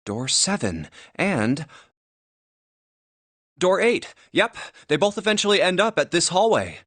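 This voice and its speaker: Game lead: an exasperated, sardonic young man, measured pace, lively intonation. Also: high-pitched, no echo, full character voice. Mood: thoughtful. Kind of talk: English video game voice acting.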